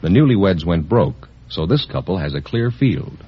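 A man's voice: low voice, sing-song, normal speed, breathy edge. An American man announcing on a 1950s radio show, introducing things in a formal broadcast style. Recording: dull old radio broadcast, faint noise.

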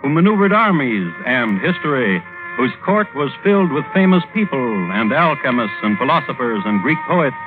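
A man's voice: mid-range voice, big pitch swings, normal speed, narrow, tinny audio. A man hosting and narrating a vintage radio drama in a wry storytelling style. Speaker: host and narrator. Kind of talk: 1950s radio scripted narration. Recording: band-limited old radio recording. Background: music.

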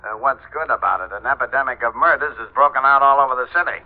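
An actor playing a brusque, harried male police commissioner, loud and curt, in an old radio drama. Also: medium pitch, fast talker, natural voice. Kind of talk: 1940s radio drama acting. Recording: tinny, narrow old radio phone call.

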